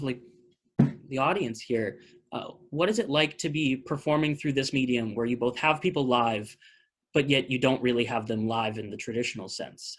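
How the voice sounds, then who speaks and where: A man's voice: medium pitch, slightly rough. A man with a mid-range voice hosts a casual question session on a video call. He talks like a theater director addressing cast and audience, conversational and working out his thoughts as he goes. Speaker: theater director hosting a post-show talkback. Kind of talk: casual question-and-answer hosting.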